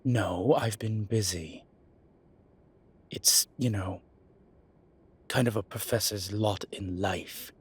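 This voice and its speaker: A man playing an exasperated, evasive demon professor in an audio roleplay, speaking haltingly and defensively with muttered asides. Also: on the low side, breathy edge, exaggerated voice. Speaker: voice actor. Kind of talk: audio roleplay acting, one-sided dialogue.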